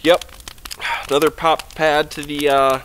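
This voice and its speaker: A man's voice: a little high, level tone, measured pace, a bit bright, a little hiss or hum, rough recording. A young man rambling casually to camera at a winter campsite, talking easily with fillers. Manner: chatty and easygoing, rambling with fillers. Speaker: outdoor vlogger. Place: snowy forest campsite at night, outdoors by a fire. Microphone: camera on a tripod a few meters away.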